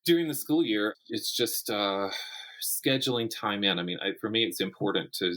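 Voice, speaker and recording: A man's voice: fairly low pitch, normal speed, fairly bright tone. A middle-aged man speaking as an interview guest, a writer talking thoughtfully and conversationally, often restarting his phrases. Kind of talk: conversational interview about writing craft.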